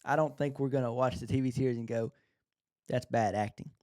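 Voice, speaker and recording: A man's voice: medium pitch, fairly even intonation, fairly quick, a little mellow, no room sound, clean studio sound. A man co-hosting a podcast in casual chat, with an informal, matter-of-fact way of talking. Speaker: podcast co-host. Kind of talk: casual chat about books and shows.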